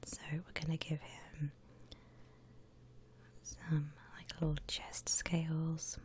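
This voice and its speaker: A woman whispering gently and breathily as she guides listeners through a drawing, in the style of an ASMR tutorial. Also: low voice, completely flat delivery. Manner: gentle, unhurried step-by-step explaining. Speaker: host of an art ASMR channel.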